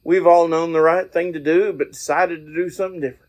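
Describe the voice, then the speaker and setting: A man with a slightly high voice speaks as a podcast host and lay preacher. His delivery is folksy and earnest, and he often halts and picks his way through the thought as he talks outdoors. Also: fairly even intonation, quick pace. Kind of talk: informal evangelistic talk.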